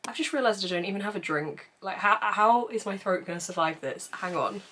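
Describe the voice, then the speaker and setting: A young woman with a hoarse, croaky voice from losing it, chatting casually through a book review. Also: medium pitch, animated, fairly quick, a bit bright, a little hiss or hum. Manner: chatty, self-deprecating, laughs often. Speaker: book vlogger.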